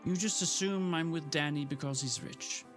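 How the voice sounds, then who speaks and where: A man voicing a young woman in love who pleads and bristles with indignation, pitching his voice up high. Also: moderate pace, faint music behind, natural voice. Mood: indignant.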